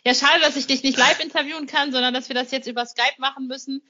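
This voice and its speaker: A woman hosts an interview podcast in a voice of medium to slightly high pitch. Her manner is informal and effusive, and she addresses guests and listeners directly. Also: steady tone, quick pace, sharp tone, lo-fi sound.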